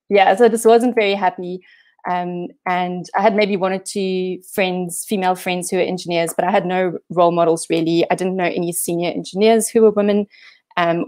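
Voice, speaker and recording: A woman's voice: on the low side, darkish tone. A woman in her thirties from South Africa, with a South African accent, giving a casual, self-deprecating talk over a video call. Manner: self-deprecating, chatty, many fillers. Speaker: guest speaker. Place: home room on holiday.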